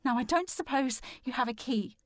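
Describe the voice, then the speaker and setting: A woman playing a distressed, determined young gentlewoman in a period audio drama, speaking formally and earnestly. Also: high voice, normal speed, no echo, clean studio sound, lightly acted. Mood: insistent, determined. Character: distressed, determined young gentlewoman searching for her missing sister.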